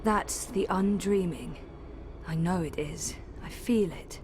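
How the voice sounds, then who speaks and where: An actress playing an uneasy young woman who speaks in a hushed, questioning way. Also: fairly low pitch, slow pace, background noise.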